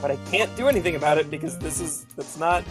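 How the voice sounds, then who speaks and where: A man's voice: fairly high pitch, quick pace. Jokey male game streamer over music. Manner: jokey, hesitant, sweary. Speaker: game streamer playing along. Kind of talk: casual group game play with reading aloud. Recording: music behind the voice.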